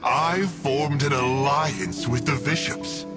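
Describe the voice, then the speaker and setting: An actor playing a sly, smug villain of a dark order in a fantasy game, teasing and theatrical in a conversational way. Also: male voice, mid-range voice, slow pace, loud music behind the voice, natural voice. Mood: smug, explaining. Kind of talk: fantasy video game voice acting.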